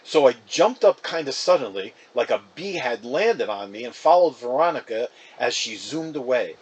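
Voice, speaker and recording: Animated, husky male storybook reader. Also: mid-range voice, moderate pace. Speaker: reader of a children's novel. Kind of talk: expressive storybook narration in a boy's first person.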